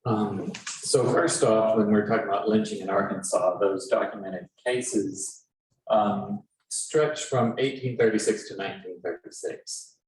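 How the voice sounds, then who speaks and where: A man explains history to an audience as a panelist. He speaks in a halting, explanatory way, picked up from a distance in an echoey room with faint noise. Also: fairly low pitch, fairly even intonation, darkish tone. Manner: explanatory, halting with many pauses. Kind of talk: informal history lecture to an audience.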